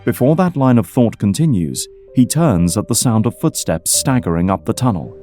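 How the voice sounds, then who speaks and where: A man's voice: medium pitch, animated, moderate pace, mellow. A man delivering scripted dramatic narration as the narrator of an audio drama. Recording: music under the voice.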